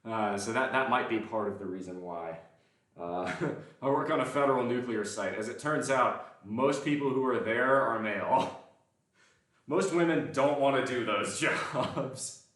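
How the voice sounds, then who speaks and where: A man's voice: mid-range voice, unhurried, far from the mic. Southern man in a very echoey gym. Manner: relaxed, rambling and self-deprecating, answering viewer comments between sets. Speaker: livestreaming lifter. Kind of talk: casual chat with chat viewers. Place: indoor gym. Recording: very echoey room, voice off-mic.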